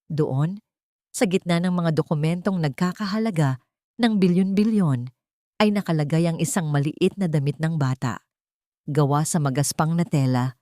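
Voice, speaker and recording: A woman with a slightly low voice reads a dramatic story as an audio drama narrator. Her delivery is solemn and deliberate, and the recording is close and very dry. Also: a little mellow, no echo.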